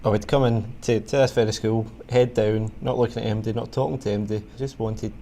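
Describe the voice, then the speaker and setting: A man's voice: lowish voice, steady tone, a little fast, mellow, slight background noise. A young man answering interview questions reflectively, with frequent hesitations. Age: young adult. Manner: hesitant, reflective, many uh fillers. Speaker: former mentored student.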